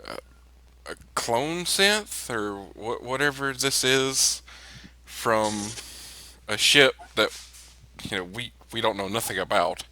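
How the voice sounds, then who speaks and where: A man talking casually in character as a player in a tabletop role-playing game, halting and repeating himself. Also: medium pitch, animated, sharp tone, a little hiss or hum.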